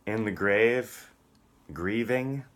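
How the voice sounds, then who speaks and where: A man's voice: fairly low pitch, drawn-out words, a bit bright. A man on a rambling solo vlog rant, profane and combative, swinging between muttering and outbursts. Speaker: vlogger.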